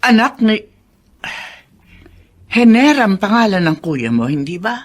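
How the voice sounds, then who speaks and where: A woman's voice: mid-range voice, big pitch swings, takes it slowly. A woman playing an elderly, earnest, gossipy family friend in a radio drama, speaking frankly. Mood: earnest, confiding. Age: older.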